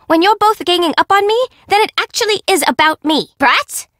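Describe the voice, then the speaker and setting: High, lively, perky young bento shop woman. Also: moderate pace, no room sound, studio quality. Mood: sarcastic, annoyed. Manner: bubbly, teasing, sarcastic, informal. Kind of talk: video game character voice acting.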